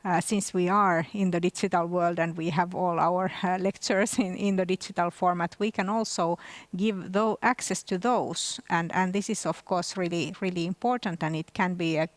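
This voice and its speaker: A woman with a Finnish accent gives her remarks as an academic panelist. Her voice is a little on the low side, and she often repeats words as she goes. The recording is dry and free of room echo. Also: fairly even intonation, moderate pace. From Finland.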